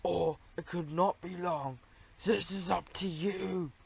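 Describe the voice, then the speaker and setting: A man voicing a solemn, evasive psychiatrist mouse with a slow, deliberate, foreign-sounding accent in a children's storybook. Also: high-pitched, fairly even intonation, creaky, husky, heavily acted. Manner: slow, deliberate, drawn-out phrasing.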